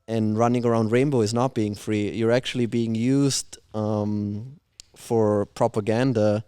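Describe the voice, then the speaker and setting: A man's voice: fairly low pitch, fairly even intonation, a little slow, warm tone, clear, resonant tone, dry recording, studio quality. A man from Austria, a podcast guest talking bluntly and opinionatedly in casual chat. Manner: blunt, opinionated, swears freely.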